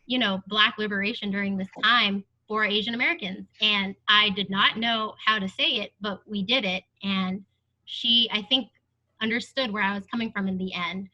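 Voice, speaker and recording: A woman's voice: medium pitch, fairly even intonation, moderate pace, pushed and bright, muffled recording. A young adult woman speaking earnestly and thoughtfully as a panelist in a discussion, with frequent fillers.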